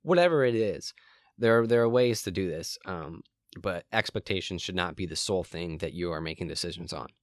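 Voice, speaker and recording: A young man hosting a solo podcast from his room, rambling with frequent ums and restarts, his voice a little hoarse and cracking. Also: low-pitched, expressive, a little fast, no echo, clean studio sound. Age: young adult. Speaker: podcast host.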